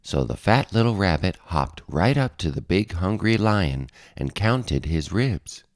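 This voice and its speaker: Slow, deep-voiced man reading a storybook. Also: very animated, dry recording, studio quality. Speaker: storybook narrator. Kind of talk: expressive children's picture-book read-aloud.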